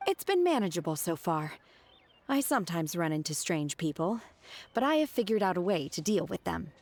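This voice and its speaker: A woman playing a calm, earnest young disciple in a video game, speaking politely and matter-of-factly. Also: lowish voice. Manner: matter-of-fact, polite, deliberate, blunt. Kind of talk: video game character acting.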